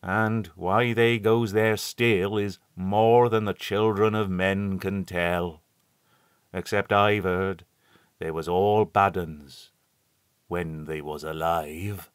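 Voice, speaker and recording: A man's voice: deep voice, fairly even intonation, slow pace, clean tone, dry recording, studio quality. A man voicing a grave, superstitious old shepherd who talks in a broad rustic dialect. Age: older. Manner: broad country dialect, ungrammatical rustic speech.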